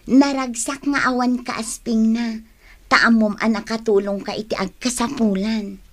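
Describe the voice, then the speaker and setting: An actress playing a down-to-earth, kindhearted young woman in an Ilocano radio drama, animated and expressive. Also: fairly high pitch, a little slow. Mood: glad, willing.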